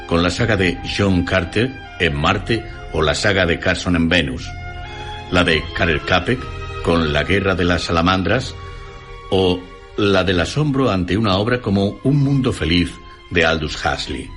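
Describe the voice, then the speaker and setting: A deep-voiced man with a Castilian accent narrates a scripted radio piece. His delivery is formal and measured, and soft music plays faintly beneath him. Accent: Castilian Spanish. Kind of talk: scripted narration, literary essay. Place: radio studio.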